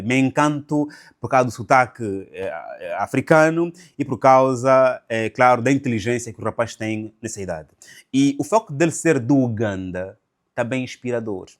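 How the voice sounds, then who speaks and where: A man, commenting and explaining in a didactic way, often posing rhetorical questions and checking in with the listener. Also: fairly low pitch.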